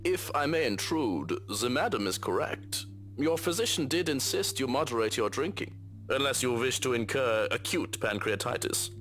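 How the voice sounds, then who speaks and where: An actor playing a formal, precise manservant who is deferential yet dryly candid, in a visual novel game. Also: male voice, medium pitch, animated, normal speed, fairly bright tone, soft background music, natural voice. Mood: polite, firm. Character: formal, dryly candid male servant of rich couple.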